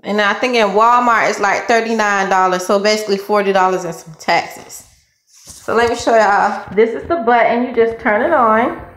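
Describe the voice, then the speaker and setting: A woman's voice: medium pitch, normal speed, a bit bright. A woman with a Southern US accent chatting casually in a folksy, informal way, as a vlogger reviewing a product. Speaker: vlogger reviewing a cleaning gadget. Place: indoors in a home bathroom. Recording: roomy echo of hard-walled room.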